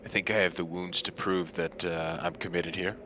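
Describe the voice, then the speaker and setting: A man playing his character in a tabletop game, eager and quick to laugh. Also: low voice, flat delivery, background noise. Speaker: player. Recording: dull lo-fi mic.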